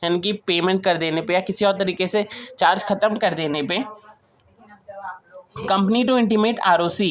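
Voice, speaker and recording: A woman with an Indian accent giving an explanatory lecture as a teacher, reading provisions aloud and then explaining them with repeated key points. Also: mid-range voice. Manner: switches between slow English reading and Hindi explanation, repeats key points. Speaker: teacher of company law.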